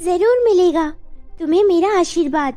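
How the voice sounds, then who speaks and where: High-pitched, emotional young mother acted by a woman. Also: moderate pace, dark tone. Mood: gentle, affectionate. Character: widowed young mother, emotional, devoted, still loyal to lost love. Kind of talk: acted Hindi animated story drama. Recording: background music over the voice.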